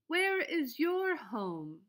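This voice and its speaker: A hopeful little storybook bunny, voiced by a woman reader, high-pitched and slow. The speech is sing-song, with the searching tone of a small animal. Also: sing-song, fairly bright tone, exaggerated voice. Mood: hopeful, curious.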